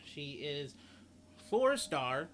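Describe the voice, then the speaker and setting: A man with a slightly high voice talks through a game guide in a casual, rambling way. He often restates his points as he goes. There is some noise behind him on the recording. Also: very animated, very slow, fairly bright tone. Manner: rambling, repeats and rephrases himself. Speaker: gaming video host. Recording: clearly noisy home recording.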